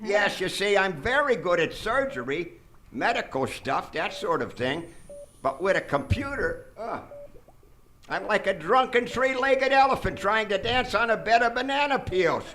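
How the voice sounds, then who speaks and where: A man's voice: high voice, animated, moderate pace, sharp tone. A man playing a vain, megalomaniac mad surgeon in a live comedic radio drama, pompous and grandiose. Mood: explaining, smug. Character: vain, megalomaniac mad brain surgeon, absurdly boastful villain. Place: theater stage before an audience. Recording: noisy live theater stage recording.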